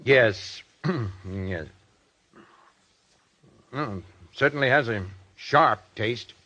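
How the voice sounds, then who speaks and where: A man's voice: medium pitch, extremely slow, sharp tone, full character voice. An actor playing a pompous, condescending great man of radio in a 1940s-style radio drama, with a haughty, disdainful manner. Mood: reluctant politeness. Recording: muffled old radio drama recording.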